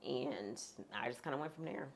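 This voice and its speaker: A woman speaking frankly as a guest in a sit-down interview, talking with humorous asides in a deep, husky voice. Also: expressive, normal speed. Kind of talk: candid sit-down conversation.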